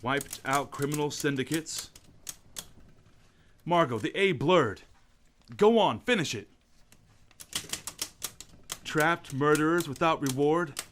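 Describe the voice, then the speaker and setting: A calm gentleman sleuth. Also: fairly high pitch, slight background noise. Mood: determined. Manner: measured, commanding, determined. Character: Lamont Cranston, calm crime-fighting gentleman sleuth. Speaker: radio actor. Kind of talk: old-time radio drama acting.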